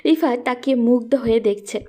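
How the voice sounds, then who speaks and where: A woman's voice: on the high side, a little fast, mellow. A woman reading scripted narration for a romantic story as its narrator.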